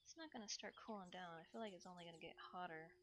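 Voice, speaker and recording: A woman's voice: fairly low pitch, fast, a bit bright. A woman chatting casually and thinking aloud as she rambles through household chores. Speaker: streamer hosting a live chores stream. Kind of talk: casual rambling chat while doing chores. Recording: clearly noisy room with household ambience.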